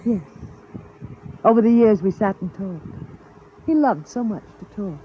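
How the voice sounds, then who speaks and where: A woman acting in a 1950s radio drama as a serene, wistful artificial wife, measured and breathy, on a thin old recording. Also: medium pitch, lively intonation, lo-fi sound, slight background noise, natural voice. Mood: wistful, composed.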